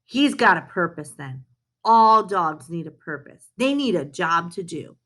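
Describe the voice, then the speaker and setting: A woman's voice: on the low side, sing-song, a little slow, bright, forceful tone, voice pushed down, exaggerated voice. A woman reading the part of a friendly, plain-spoken rancher man in a storybook. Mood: approving, friendly.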